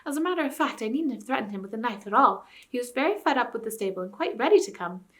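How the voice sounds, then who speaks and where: A bold, giggly schoolgirl in a storybook, as a woman reads her part. She talks breathlessly and pushes her voice well above the reader's own pitch. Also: expressive, fast talker, a bit bright. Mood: eager telling. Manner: breathless, stifling laughter. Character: grown-up girl adventurer, bold and giggly.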